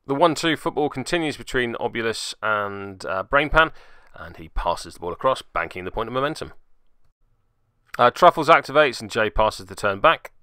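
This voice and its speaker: A man's voice: medium pitch, expressive, normal speed, sharp tone, smooth, dry recording, clean studio sound. A man narrating a casual play-by-play recap of a tabletop game as its host, with wry, self-deprecating asides.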